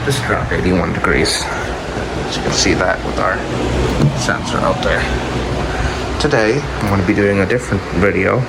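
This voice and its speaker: A man with a low, creaky voice hosts an informal showcase video. He talks in a plain, offhand and halting way, unscripted. A loud, steady ambient hum and hiss runs behind him. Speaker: video host showing a collection. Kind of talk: casual unscripted product showcase.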